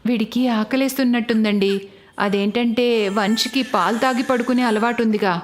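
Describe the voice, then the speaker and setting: A woman voicing a worried mother and caring elder sister in an animated family story, concerned and affectionate. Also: on the high side, normal speed, a little hiss or hum, lightly acted.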